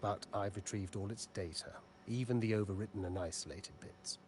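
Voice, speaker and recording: Low-voiced confident hacker. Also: male voice, fairly quick, a little mellow, husky. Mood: smug, helpful. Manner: confident, matter-of-fact. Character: self-assured male hacker expert. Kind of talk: video game dialogue.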